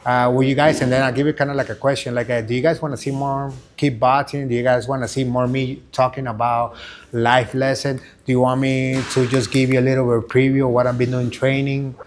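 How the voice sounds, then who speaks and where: Fast-talking Venezuelan man. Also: medium pitch, level tone, a little hiss or hum. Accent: Venezuelan Spanish. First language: Spanish. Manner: rambling, many fillers and restarts. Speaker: fighter and coach. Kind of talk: casual interview answers. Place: gym.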